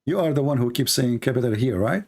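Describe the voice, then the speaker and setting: A man's voice: mid-range voice, lively intonation, quick pace, a little mellow. A man whose first language is Arabic, hosting a live debate and pressing a caller with repeated questions. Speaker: host.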